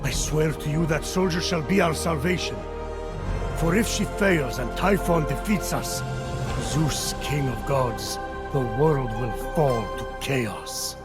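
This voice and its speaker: A man's voice: fairly high pitch, over loud music. Solemn male storyteller titan. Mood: earnest, grave. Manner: measured, solemn, formal. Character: Prometheus, grave, patient male titan storyteller. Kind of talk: dramatic scripted narration.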